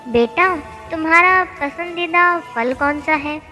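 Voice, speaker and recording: कहानी में एक महिला धैर्यवान, स्नेही बुज़ुर्ग गुरुजी का किरदार बोल रही है, जो पिता की तरह ठहरकर समझाते हैं।. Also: female voice, extremely high pitch, takes it slowly, bright, forceful tone, highs cut off, full character voice. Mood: calm. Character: patient, gentle old male guru teaching a lesson. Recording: long hall reverb, music bed.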